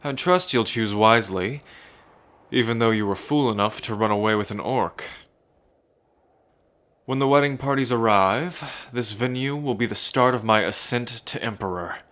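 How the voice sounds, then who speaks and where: A man's voice: medium pitch, moderate pace, fairly bright tone, lightly acted. A man playing an arrogant, sadistic would-be emperor in an ASMR roleplay, with a pompous, gloating manner. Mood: smug, gloating. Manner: pompous, gloating, with frequent smug chuckles. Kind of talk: ASMR roleplay villain monologue.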